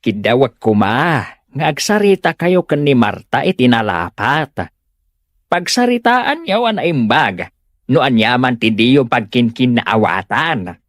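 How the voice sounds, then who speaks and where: A man's voice: medium pitch, unhurried, dry recording, clean studio sound. A man playing an earnest, doting young husband who keeps the peace in a comedy audio drama. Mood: pleading. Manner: expressive, earnest.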